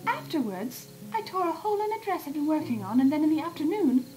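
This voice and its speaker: A woman's voice: high voice, sing-song, quick pace, dark tone, poor audio. A woman voicing a refined female cartoon unicorn with a genteel, theatrical manner in a fan audio drama. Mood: rueful. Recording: background music under the voice.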